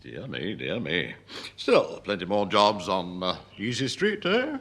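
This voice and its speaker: Casting: senior English ministry official, middle-aged, genial, wry, hesitant, hugely animated intonation, radio comedy. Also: male voice, low voice, unhurried, slightly rough, exaggerated voice. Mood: wry, pleased. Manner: hesitant, dryly ironic. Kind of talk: British radio sitcom acting.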